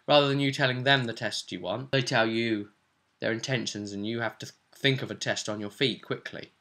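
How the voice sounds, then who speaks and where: A man's voice: medium pitch, fairly even intonation, normal speed, bright, forceful tone. A man hosting as game master, casually recapping a tabletop role-play session in a rambling, descriptive way.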